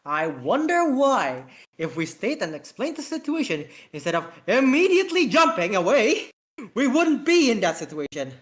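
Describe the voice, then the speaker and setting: An amateur reader as an exasperated, scolding spaceship lieutenant, raised pitch. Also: big pitch swings, fairly bright tone. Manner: indignant, emphatic, halting.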